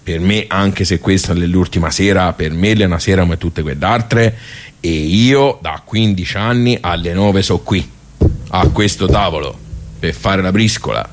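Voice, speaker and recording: A low-pitched, simple-hearted man of the village club, performed by an actor for radio theatre. He talks in a colloquial Tuscan accent, rambling and breaking off into muttered asides. His temper is grumbling and nostalgic. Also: moderate pace, close to an everyday voice. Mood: stubborn, grumbling.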